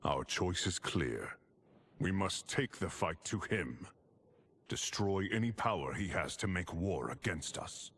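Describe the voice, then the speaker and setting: An actor playing a grave, steadfast warrior in a video game, with a deliberate, weighty delivery. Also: male voice, low-pitched, expressive, fairly bright tone, husky, full character voice. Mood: resolute. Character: grave, deep-voiced male warrior ally, steadfast. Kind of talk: dramatic video game acting. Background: faint music.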